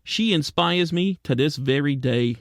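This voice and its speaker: A man playing a wisecracking, soft-hearted penguin private detective in a radio drama, narrating and talking in old-time gumshoe slang. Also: a little high, measured pace. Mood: fond, excited. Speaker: lead actor and narrator.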